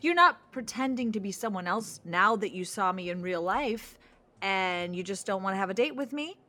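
A woman's voice: mid-range voice, expressive, moderate pace, pushed and bright. An actress playing a pushy, nervous young woman waiting for a blind date in an audio drama comedy, needling and rambling with suspicion. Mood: suspicious, flustered. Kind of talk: audio drama acting, romantic comedy dialogue.

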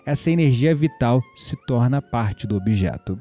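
A man with a Brazilian accent acting in an audio drama as a patient believer who explains spiritual matters in a conversational, gently teasing way. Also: medium pitch, expressive, normal speed, natural voice. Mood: earnest, explanatory. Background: music behind the voice.

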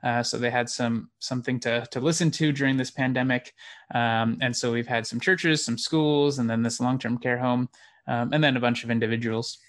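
Canadian guy being interviewed, explains stuff kinda hesitantly, mid pitched voice. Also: steady tone, normal speed. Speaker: interview guest, theatre producer and actor. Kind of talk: interview conversation.

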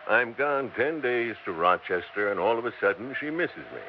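A man's voice: mid-range voice, animated, moderate pace, lightly acted. An actor playing a tired, wisecracking older traveling salesman who may be dead, in a 1960s radio drama. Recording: dull old radio broadcast with faint hiss.

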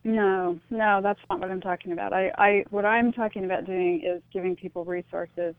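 A woman's voice: mid-range voice, fairly even intonation, moderate pace, no echo. A woman being interviewed on radio over a narrow phone-like line, explaining her views earnestly in a conversational way. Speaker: interview guest, author.

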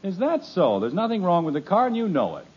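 A man's voice: high-pitched, huge pitch swings, brisk, slightly rough, exaggerated voice. An actor playing a patient, dry-witted middle-aged father in a 1950s radio comedy, with weary sarcasm. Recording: dull old radio transcription.

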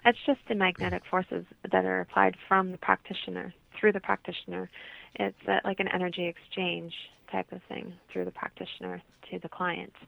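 A woman's voice comes through a thin, phone-like line. It sits slightly low and has a noticeable fry. She speaks as a holistic healer, explaining her answers to an interviewer. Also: little pitch movement, moderate pace, dry recording. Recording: muffled, narrow phone-like line.

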